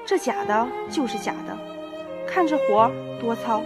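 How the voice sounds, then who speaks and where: A young woman acting a thrifty, sharp-tongued working wife in an amateur student radio drama. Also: a little high, animated, moderate pace. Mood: smug. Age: young adult. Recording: loud music behind the voice. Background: music with violin.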